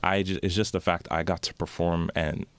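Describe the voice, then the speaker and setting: A man's voice: sits low, brisk, dry recording. A young Canadian man telling stories as a guest in a casual interview, with loose, restarting sentences. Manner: relaxed storytelling with frequent restarts. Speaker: guest singer.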